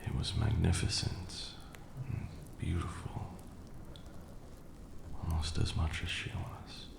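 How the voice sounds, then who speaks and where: A man playing an ancient vampire lord, proud, wistful and tender, telling his tale in a hushed, formal, measured way as an intimate ASMR roleplay. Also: low-pitched, level tone, vocal fry, roomy sound, background noise, full character voice. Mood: tender, admiring.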